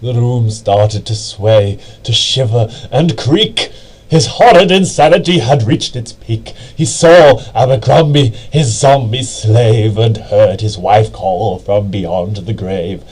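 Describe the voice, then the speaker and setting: Slow, husky man narrating gothic verse. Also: on the low side, a little mellow. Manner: theatrical, deliberate, brooding. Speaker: amateur reader. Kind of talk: dramatic scripted poem narration.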